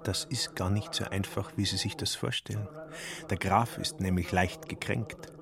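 An actor playing a wary, irritable small-time swindler in a radio play, with a grudging manner. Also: male voice, sits low, steady tone, normal speed, creaky, a crowd in the background. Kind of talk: German radio play acting.

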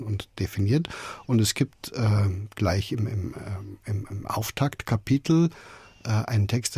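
A man's voice: fairly low pitch, darkish tone, slightly rough, dry recording. A man being interviewed as a radio drama director, speaking spontaneously and searching for words with frequent repetitions.

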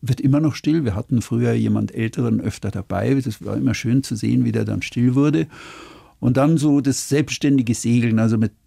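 Gravelly, husky man in his sixties. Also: medium pitch, fairly quick, dark tone, no room sound, clean studio sound. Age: 60s. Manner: musing, loses his thread, chuckles. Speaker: sailor and author, guest. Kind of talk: relaxed interview chat.

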